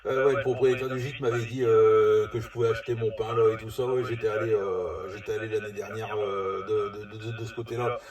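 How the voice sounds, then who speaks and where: A man's voice: fairly low pitch, fairly even intonation, brisk, few pauses, crowd noise. A man chatting casually as a radio operator, talking in a hesitant, rambling way and repeating his words. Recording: echoey, distant, voices behind.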